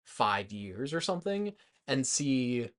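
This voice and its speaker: A man's voice: mid-range voice, big pitch swings, slow. A man in his twenties co-hosting a movie podcast, talking informally and a little tentatively over drinks. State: drinking alcohol. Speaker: podcast co-host. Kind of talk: casual movie discussion.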